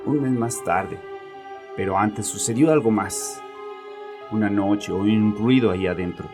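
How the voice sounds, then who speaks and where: A man's voice: fairly low pitch, a little slow, over music, natural voice. A man playing a bitter, domineering grieving father who confides in a therapist with agitated, sarcastic arrogance in a horror audio drama. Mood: haunted.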